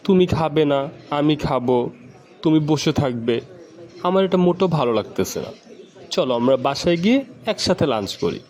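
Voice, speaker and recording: A man's voice: fairly high pitch, lively intonation, normal speed, pauses often, darkish tone, natural voice. A man playing a dutiful, affectionate schoolboy son in an amateur educational cartoon, polite and earnest. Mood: earnest, insistent.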